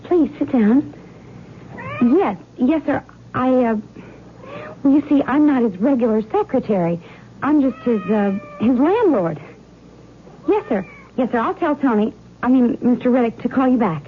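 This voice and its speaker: A woman's voice: sits high, very animated, moderate pace. An actress playing an earnest young woman posing as a lawyer's secretary in a radio drama, polite and easily flustered. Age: young adult. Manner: polite, flustered, eager to please. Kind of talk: old-time radio drama acting. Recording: muffled vintage radio with faint noise.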